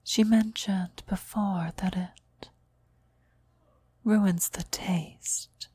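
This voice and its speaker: Reverent cultist servant, voiced by a woman: very breathy whisper, slow hushed delivery, close dry ASMR sound. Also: mid-range voice, fairly even intonation, dry recording. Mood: serene, fanatical. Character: devoted female cultist, eerily reverent servant of demon queen. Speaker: voice actor in an audio roleplay. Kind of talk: whispered ASMR roleplay acting.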